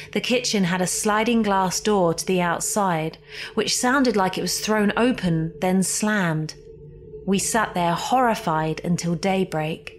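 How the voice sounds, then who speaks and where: A woman reading a scripted ghost story as a horror podcast narrator, theatrical and suspenseful, with deliberate emphasis. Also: mid-range voice, slight background noise. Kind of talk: scripted dramatic spooky narration. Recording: music behind the voice.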